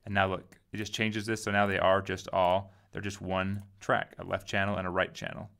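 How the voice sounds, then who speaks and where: A man giving a casual software tutorial, informally thinking aloud as he goes. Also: low voice, little pitch movement, moderate pace, gravelly, clean tone. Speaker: tutorial host.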